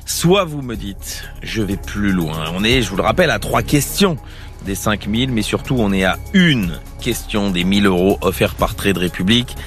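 A man's voice: on the low side, expressive, normal speed, a bit bright, faint music behind. A man hosting a radio quiz game, teasing the contestant and stretching out the questions for suspense. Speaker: quiz show host.

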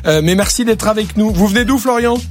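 Radio host, male, high voice, lively game-show chat over a light music bed. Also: a little fast. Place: radio studio.

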